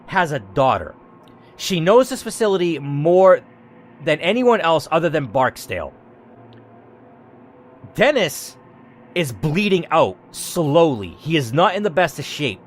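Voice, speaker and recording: A man's voice: fairly high pitch, slight background noise. A man narrating a story game dramatically and reacting to its choices with emphatic commentary, as a gaming host.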